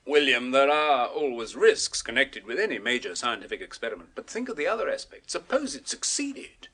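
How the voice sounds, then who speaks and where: A man of science in a 1970s radio chiller, fervent and persuasive. His pitch leaps about with excitement and his voice has a husky edge. He explains macabre things with clinical precision and coaxing zeal. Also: a little fast. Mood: excited, urgent. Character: eager, persuasive male scientist obsessed with a macabre experiment. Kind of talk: 1970s British radio horror play acting.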